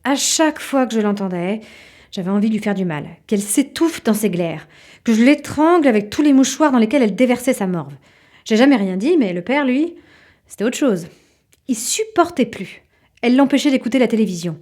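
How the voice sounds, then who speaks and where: A woman's voice: fairly high pitch, lively intonation, brisk, a little mellow. A woman playing an obsessive, irritable mother who tells her story dramatically and confidingly in an audio fiction. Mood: irritated, confiding. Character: obsessive, irritable mother waiting for a mysterious sign.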